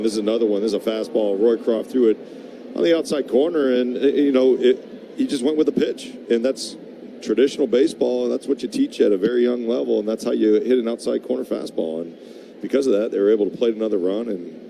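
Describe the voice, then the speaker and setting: A man's voice: on the low side, vocal fry, background noise. A man giving conversational color commentary and analysis during a live baseball broadcast.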